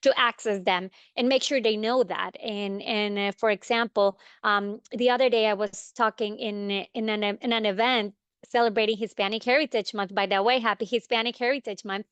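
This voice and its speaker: A woman's voice: mid-range voice, steady tone, moderate pace, fairly bright tone, clear, resonant tone, no room sound, lo-fi sound. A woman from Honduras with a Honduran Spanish accent, a native Spanish speaker presenting informally as a community support panelist in a webinar. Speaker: county immigrant support panelist.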